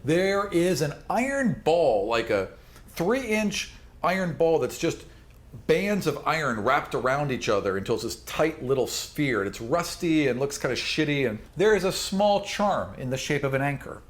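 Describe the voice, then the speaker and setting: A man's voice: a little high, sing-song, moderate pace. A man running a tabletop role-playing game, describing things in an informal, off-the-cuff way with casual asides. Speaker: game master.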